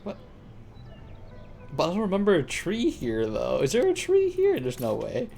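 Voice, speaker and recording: A man's voice: sits high, wildly swooping pitch, normal speed, gravelly, mellow, soft background music. A man giving casual, slangy gameplay commentary on a live stream, thinking aloud as he plays. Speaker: live streamer playing a video game.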